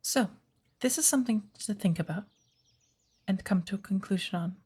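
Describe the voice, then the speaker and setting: A woman playing a patient witch mentor in an ASMR roleplay, speaking softly, formally and with measured deliberation. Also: mid-range voice. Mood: patient, instructive. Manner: measured, deliberate, formal, often hushed and breathy. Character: patient witch mentor of the woods, gently teaching magic.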